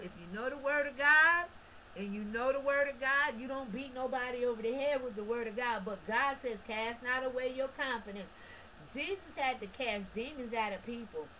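A woman's voice: a little high, pushed and bright, slight background noise, poor audio. A woman giving a casual, preachy religious commentary monologue, talking straight to her listeners with emphatic rhetorical questions and colloquial asides. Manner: casual colloquial speech with restarts and short laughs.

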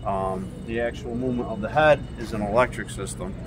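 A man's voice: lowish voice, steady tone, fairly quick. A middle-aged man casually walking visitors through equipment as a company representative. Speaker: sawmill company representative giving a tour. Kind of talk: casual product walkthrough. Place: workshop and outdoor equipment yard. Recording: noisy on-location camera audio, machinery ambience.

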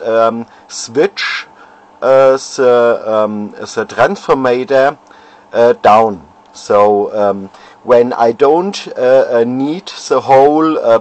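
A man, an amateur radio operator showing his homebrew gear at home, pausing often and searching for words. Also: medium pitch. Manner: halting, many fillers, searching for words. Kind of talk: casual equipment demo. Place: home radio shack, indoors. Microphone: handheld camera.

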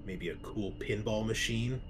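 A man narrating a tabletop roleplaying game as game master, casual and halting as he improvises descriptions. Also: on the low side, over music.

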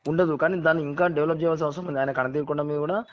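A man's voice: on the high side, steady tone, rapid-fire. A man with a Telangana Telugu accent talking casually and earnestly as a caller, often repeating words and phrases. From Telangana, India. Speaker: caller, local voter. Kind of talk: casual phone chat. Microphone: phone call. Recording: dull, band-limited phone call audio.

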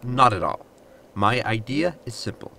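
Man acting gravelly, sardonic spaceship sidekick. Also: mid-range voice, a little slow, heavily acted. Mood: sly. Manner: deadpan, dryly insolent. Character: sardonic, scheming male sidekick to a space captain. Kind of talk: science fiction comedy audio drama.

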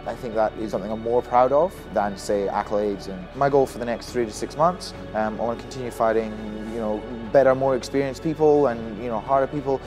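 A young man raised in Australia, talks quickly and casually as a fighter being interviewed in a gym. He rattles on with plenty of fillers and restarts, and music plays clearly under him. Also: medium pitch, fairly even intonation, low-quality recording. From Scotland. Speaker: Muay Thai fighter and coach. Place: boxing gym, indoors.

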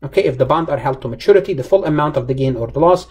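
Husky male accounting lecturer, mellow tone. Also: a little high, rapid-fire. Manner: emphatic, repetitive, step-by-step explaining. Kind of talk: explanatory lecture over slides.

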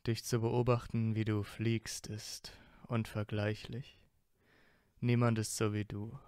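A man acting a proud young male wizard student in a fan audio drama, secretly tender underneath. The voice is lowish and slow, recorded dry and close. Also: level tone, a little mellow, clear, resonant tone, no room sound. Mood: earnest, tender.

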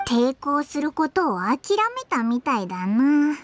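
Squeaky, childlike fairy sidekick. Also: female voice, lively intonation, measured pace. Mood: indignant, spooked. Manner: brash, boyish casual speech. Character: small childlike floating fairy companion, chatty and easily spooked. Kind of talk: Japanese anime game voice acting. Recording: game music under the voice.

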